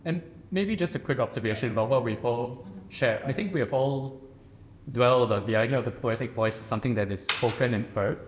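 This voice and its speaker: A poet from Singapore with a Singaporean accent, telling a personal story in a measured, earnest way on a panel. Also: male voice, medium pitch, poor audio. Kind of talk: personal reflective talk. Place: reception room at a residence.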